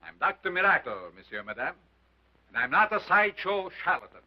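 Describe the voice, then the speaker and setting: A man playing a grandiose carnival showman and self-styled scientist, speaking in a booming, theatrical way. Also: very animated, a little fast, highs cut off. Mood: commanding. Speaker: sideshow doctor presenting an ape.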